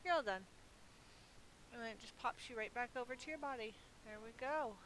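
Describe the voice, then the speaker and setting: A woman with a slightly high voice gives casual commentary while playing a video game. She is playful and chatty, drifting into little exclamations and muttered asides. There is clear hiss and noise on the recording. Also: brisk, a bit bright, poor audio. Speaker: gaming video host, player.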